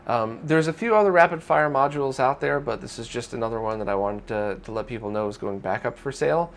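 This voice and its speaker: Man, mid-pitched voice, informal news host, conversational delivery, talking to viewers. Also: quick pace, gravelly. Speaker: host of a weekly retro gaming news roundup. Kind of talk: casual news roundup to viewers.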